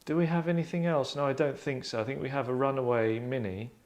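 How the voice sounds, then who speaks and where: Deadpan, rambling man hosting. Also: mid-range voice, fast. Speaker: host and narrator of a table-read show. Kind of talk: casual group discussion. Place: small furnished lounge, indoors.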